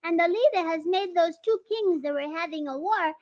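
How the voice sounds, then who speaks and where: A squeaky child voice, very high, slow, eager, giggly, dull lo-fi radio sound. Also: male voice. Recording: dull old radio recording with faint hiss.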